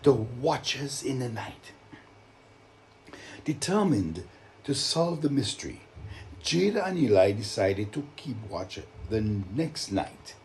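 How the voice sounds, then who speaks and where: A man with a Vincentian accent telling stories to children, expressive and deliberate, with dramatic emphasis. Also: medium pitch, a little hiss or hum. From Saint Vincent and the Grenadines. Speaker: children's storyteller and author. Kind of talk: live storytelling to children.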